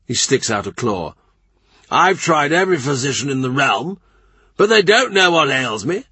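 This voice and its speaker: A man voicing an ailing duke who complains loudly and pities himself, in a storybook reading. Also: medium pitch, very animated, normal speed, breathy edge, no echo, voice pushed up, heavily acted. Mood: complaining. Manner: complaining, raised voice. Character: grumbling ailing male duke, loud and self-pitying.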